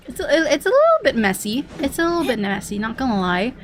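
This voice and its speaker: A woman with a scratchy voice, talking casually through a game walkthrough, rambling with many ums and quick laughs. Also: high voice, sing-song. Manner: rambling, lots of ums and self-corrections, laughs often. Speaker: gaming video creator.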